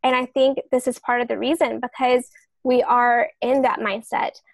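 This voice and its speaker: Higher-pitched woman explaining on a podcast. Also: flat delivery, normal speed, vocal fry, no echo. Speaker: fiction author and podcast panelist. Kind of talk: podcast discussion, explaining writing tips.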